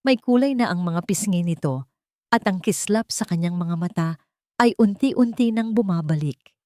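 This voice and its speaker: A woman's voice: on the low side, animated, normal speed, a little mellow, dry recording, clean studio sound. A woman reading a scripted, dramatic audio story as its storyteller.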